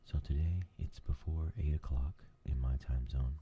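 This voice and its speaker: A man hosting a new ASMR gaming channel, talking in a soft whisper and rambling with lots of fillers. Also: rumbling low, steady tone, moderate pace, highs cut off.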